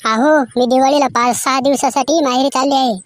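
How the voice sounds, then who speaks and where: A woman's voice: sits high, fairly even intonation, a little fast, dry recording, close to an everyday voice. A woman playing a nagging, naive wife in scripted comedy cartoon skits. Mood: naive, chatty.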